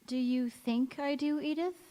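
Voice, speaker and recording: A woman's voice: high-pitched, unhurried, warm tone. A woman playing a polite, anxious married woman with genteel manners in a stage radio play. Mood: polite, gracious. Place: large hall, live stage performance. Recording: echoey hall with long reverb.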